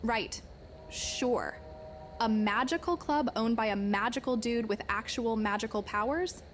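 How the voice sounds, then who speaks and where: A woman's voice: on the high side, a little slow, slight background noise, close to an everyday voice. A woman playing a skeptical, exasperated young human in an audiobook drama, talking in a casual, dismissive way.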